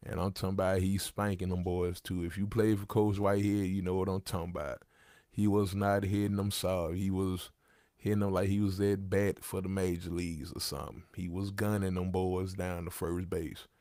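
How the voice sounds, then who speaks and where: A man in his thirties with a low, gravelly voice, talking casually on his livestream. He leans on slang and circles back to repeat his points. The mic is close and the sound is dry. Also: little pitch movement, normal speed, dark tone, dry recording. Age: 30s. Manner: gravelly, conversational, repeats himself, street slang. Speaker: livestream host.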